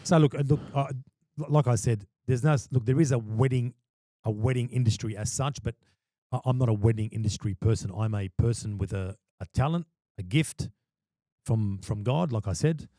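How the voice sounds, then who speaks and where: A man's voice: medium pitch, normal speed, dark tone, dry recording. A man, a wedding MC and podcast guest, talking passionately and emphatically, often repeating words as he starts a thought. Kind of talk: casual podcast chat.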